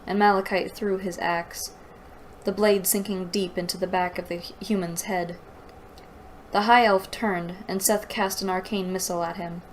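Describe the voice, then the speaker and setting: A woman's voice: fairly low pitch, a little hiss or hum, rough recording. A woman reading aloud and giving mocking, incredulous commentary as the host of a book review. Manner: exasperated, incredulous.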